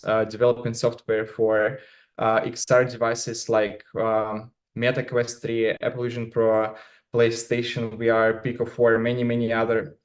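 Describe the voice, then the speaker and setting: A man from Russia with a Russian accent, a native Russian speaker giving a conference talk in English over a video call, halting and filling his speech with many "uh"s and "like"s. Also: mid-range voice. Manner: halting, many fillers and restarts. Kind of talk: remote conference presentation.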